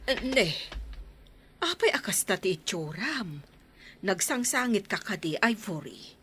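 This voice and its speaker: A woman's voice: medium pitch, big pitch swings, slow, fairly bright tone, slight background noise. A woman playing a sympathetic village aunt in a radio drama, with music underneath. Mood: concerned. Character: concerned, sympathetic village auntie. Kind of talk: radio drama acting in Ilocano.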